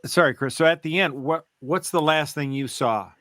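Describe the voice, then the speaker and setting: Bright, higher-voiced man chatting casually. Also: moderate pace, dry recording, clean studio sound. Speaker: podcast co-host. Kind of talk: casual chat.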